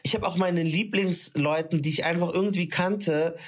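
Slightly high man, chatty. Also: normal speed. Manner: chatty, mixes in English words. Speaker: interviewee. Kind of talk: casual conversation.

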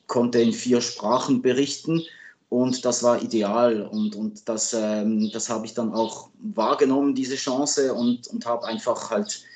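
A man's voice: mid-range voice, darkish tone. Hesitant Swiss man in his fifties, native French speaker. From Switzerland. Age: 50s. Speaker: sports journalist and guest expert. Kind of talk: conversational interview answers.

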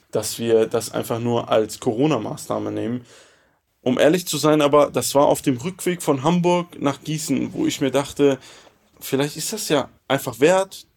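Male entrepreneur, gravelly voice, candid and reflective. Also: mid-range voice, darkish tone.

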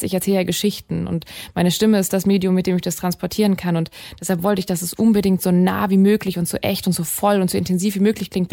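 A young woman singer with a deep voice, chatting casually in an interview with many fillers and restarts. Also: vocal fry, warm tone.

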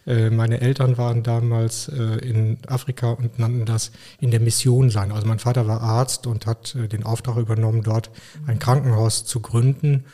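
Thoughtful middle-aged man, mellow voice. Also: medium pitch, little pitch movement, moderate pace. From Koblenz, Germany. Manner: thoughtful, many hesitations. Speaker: podcast guest, physician. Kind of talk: casual interview talk.

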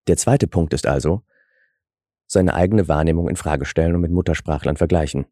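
A man's voice: rumbling low, a little fast, mellow, no echo, clean studio sound. A man who grew up in Germany with Russian as his first language, hosting a reflective, explanatory solo podcast with self-deprecating asides. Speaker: podcast host. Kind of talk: scripted solo podcast talk.

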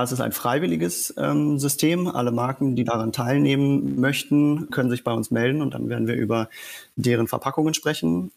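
A man's voice: mid-range voice, gravelly. A man, a startup co-founder from Germany, talking fluently as a podcast guest and explaining in detail with occasional fillers.

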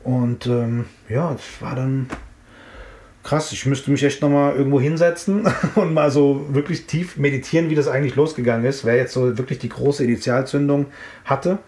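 A man's voice: mid-range voice, fairly even intonation, moderate pace, darkish tone. A middle-aged man from the Frankfurt area, reminiscing in a casual interview and rambling with lots of fillers and restarts. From Frankfurt area, Germany. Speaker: interviewee, hip-hop artist. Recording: roomy echo.

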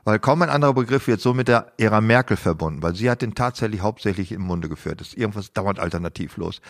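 A man with a fairly low voice talks in a dry, sardonic way, blunt and unbothered by crude words. He chats casually as a podcast co-host and now and then reads his own book aloud.

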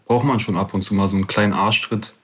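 A man with a moderately low voice speaks as a musician guest on a podcast. He talks in casual, colloquial phrases, as in a friendly conversation. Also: fairly even intonation, quick pace, husky.

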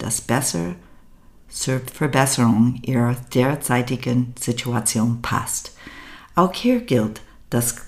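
An older American woman with an American accent, a native English speaker, hosting a podcast in a measured, scripted monologue. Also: rumbling low, vocal fry, a little mellow. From United States. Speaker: podcast host.

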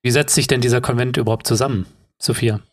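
A man's voice: mid-range voice, fairly even intonation, fast, dry recording, studio quality. A man hosting a conversation, asking guiding questions and introducing topics. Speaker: host. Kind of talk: interview moderation.

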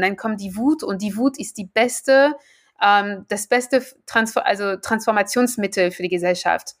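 A woman with a French accent, a native French speaker, answering as the expert guest in a podcast interview, earnest and building to emphatic repetition. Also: medium pitch.

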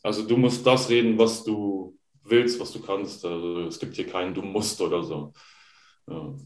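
A man's voice: low-pitched, fairly even intonation, normal speed. A man in his thirties from Germany, telling stories as an interview guest in a rambling, chatty way with many fillers. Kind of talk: casual interview chat. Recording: roomy echo.

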